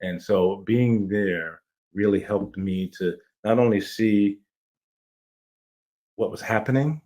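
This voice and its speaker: Enthusiastic low-voiced middle-aged man. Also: slow pace, darkish tone. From Jamaica, raised in New York. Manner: enthusiastic, rambling with restarts. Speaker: playwright, guest. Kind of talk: casual interview storytelling.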